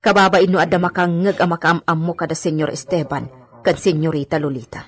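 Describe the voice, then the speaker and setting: Woman acting older female servant, very low pitch, husky, stern, scolding, radio drama. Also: fairly even intonation, fairly quick.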